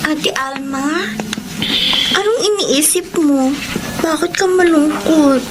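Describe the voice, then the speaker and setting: A woman's voice: high-pitched, takes it slowly, loud music behind the voice. A woman playing a young schoolgirl, an eager youngest sister, in a Filipino radio drama. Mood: gentle, eager. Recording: noisy radio drama recording.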